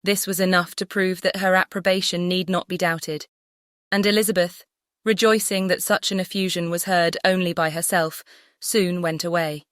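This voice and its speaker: A woman's voice: fairly low pitch, fairly even intonation, moderate pace, fairly bright tone, no echo, clean studio sound. A woman reading a classic novel as scripted audiobook narration.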